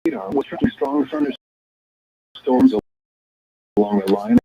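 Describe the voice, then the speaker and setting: A man's voice: high voice, sing-song, slow, creaky, dark tone, slightly rough. Synthetic male weather announcer on tinny radio. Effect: weather radio broadcast. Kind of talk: scripted weather alert reading. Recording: tinny, narrow-band weather radio signal.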